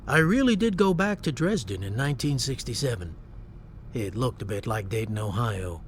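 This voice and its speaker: An actor playing a reflective war-veteran narrator in a radio drama, telling a grim story in a measured, understated, matter-of-fact way. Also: male voice, medium pitch, expressive, noisy background, close to an everyday voice.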